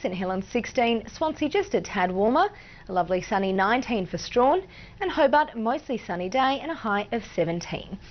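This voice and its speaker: A woman's voice: mid-range voice, highs cut off. An Australian woman presenting news and weather in a scripted bulletin style with some light banter.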